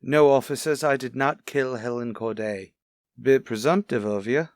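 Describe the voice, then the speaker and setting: A man's voice: mid-range voice, moderate pace, slight background noise, lightly acted. A man playing a prim, evasive voice coach who speaks precisely and indignantly in a radio detective drama. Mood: haughty. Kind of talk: old-time radio detective drama acting.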